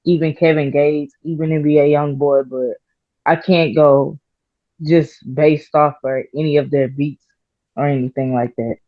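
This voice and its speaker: Halting, soft-spoken woman rapper, muffled. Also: sits low, fairly even intonation, no echo. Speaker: rapper guest. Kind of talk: casual interview answers. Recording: slightly dull, muffled audio.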